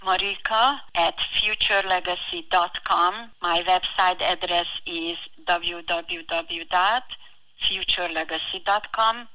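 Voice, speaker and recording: A middle-aged woman with a heavy foreign accent, answering as a podcast guest in an earnest, deliberate, prepared-sounding way. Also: fairly low pitch, steady tone, pushed and bright, slight background noise, low-quality recording. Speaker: financial advisor, podcast guest.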